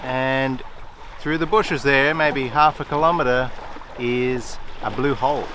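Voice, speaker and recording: A man's voice: mid-range voice, slow. A man in his forties, a sailing vlogger, giving casual running commentary from a sailboat deck into a handheld camera mic. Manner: excited, running commentary on the weather. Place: outdoors on a sailboat deck in a storm. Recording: loud wind and rain noise.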